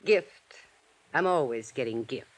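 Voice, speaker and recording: A woman's voice: very deep voice, expressive, unhurried, full character voice. An actress playing a sultry, flirtatious married woman who teases coyly in a 1950s radio drama. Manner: coy, teasing.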